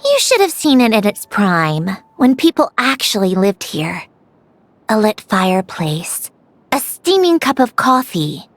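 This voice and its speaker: Self-assured, animated young genius mechanic. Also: female voice, mid-range voice, measured pace. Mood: wistful, composed. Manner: self-assured, precise, nostalgic. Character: proud young female genius mechanic, wistful and dry. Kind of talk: scripted video game dialogue.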